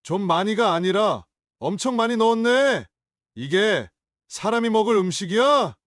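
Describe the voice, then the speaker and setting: An actor playing a hostile, hungover young adult son in an audio drama, sneering and confrontational. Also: male voice, sits high, animated, moderate pace, fairly bright tone, dry recording, clean studio sound. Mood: irritable.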